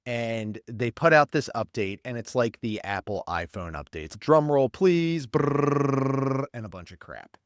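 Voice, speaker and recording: A man's voice: on the low side, no room sound, studio quality. A man hosting a podcast, sounding congested and stuffed up, rambling and blunt in casual commentary. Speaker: podcast host, paramedic.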